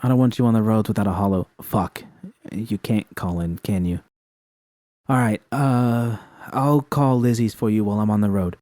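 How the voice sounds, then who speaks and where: A man's voice: fairly low pitch, warm tone, dry recording, studio quality, lightly acted. A man voicing a blunt, swearing woman mercenary. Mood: gentler. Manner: curt and swearing, quick. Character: tough, blunt woman mercenary, short-tempered.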